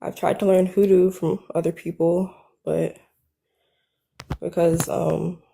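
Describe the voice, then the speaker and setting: Soft-spoken young woman caller. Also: lowish voice, level tone, slow, creaky, a little hiss or hum. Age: 20s. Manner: soft, hesitant, youthful-sounding. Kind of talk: casual live stream conversation.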